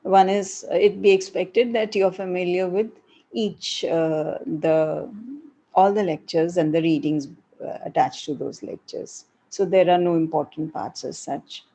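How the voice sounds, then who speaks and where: A middle-aged woman professor with an Indian English accent and a breathy voice, answering student questions in a live online session with frequent hesitations. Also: fairly low pitch, fairly even intonation, a little slow, dark tone. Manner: explanatory, repeats points with hesitations.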